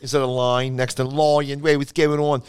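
A man's voice: medium pitch, little pitch movement, moderate pace, clean tone. A man with a Philadelphia accent co-hosting a podcast, rambling through jokey stories and losing his train of thought. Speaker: podcast co-host. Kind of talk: casual chat.

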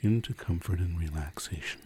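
A man narrating a guided sleep meditation in a slow, soothing, hushed manner with long pauses. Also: deep voice. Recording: campfire and cricket ambience, faint noise. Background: crickets and crackling fire.

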